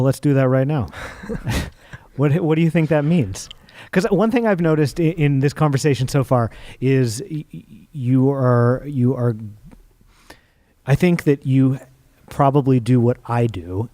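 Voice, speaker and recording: A man's voice: medium pitch, measured pace, warm tone, no room sound. A man hosting an onstage interview, asking probing questions and often restarting his words. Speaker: interview host. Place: theater stage.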